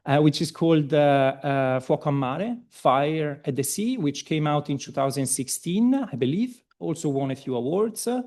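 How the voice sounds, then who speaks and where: A man from Italy with an Italian accent, giving a seminar presentation, hesitant with many ums and restarts and relaxed, self-deprecating asides. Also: on the high side, moderate pace, hardly any pauses. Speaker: seminar speaker.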